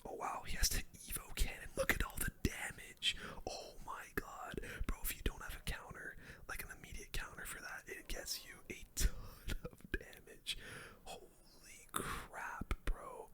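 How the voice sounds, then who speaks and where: A young adult whispers casual, slangy commentary over their own gameplay in an ASMR style. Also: unhurried. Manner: casual slangy gamer talk, full whisper.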